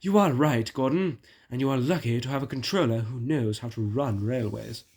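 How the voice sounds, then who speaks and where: A man's voice: mid-range voice, moderate pace, darkish tone, breathy edge. A man plays a celebrated express locomotive from a storybook. He sounds genial and self-assured, a proud but friendly engine. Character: Flying Scotsman, famous friendly male express engine.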